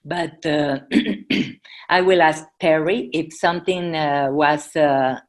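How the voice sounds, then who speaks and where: A woman with a Mexican accent, a native Spanish speaker, giving an informal presentation and halting as she searches for English words. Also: sits low. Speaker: Red Cross presenter.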